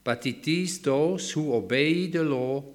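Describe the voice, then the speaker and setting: Slow Swiss-accented man reading scripture. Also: on the high side. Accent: Swiss German. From Switzerland. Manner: measured, careful reading aloud. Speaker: Bible reader.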